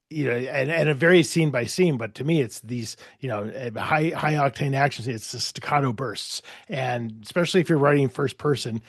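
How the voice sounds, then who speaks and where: A man's voice: medium pitch, dry recording, clean studio sound. A man hosting a casual interview podcast, rambling a little and often restarting his thoughts. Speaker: podcast host.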